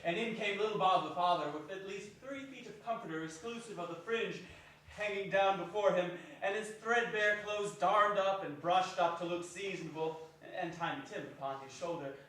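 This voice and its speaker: A man's voice: high-pitched, normal speed, fairly bright tone, a little hiss or hum. A man narrating a classic story as a theatrical dramatic reading. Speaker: stage reader, narrator. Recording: very echoey hall from far off.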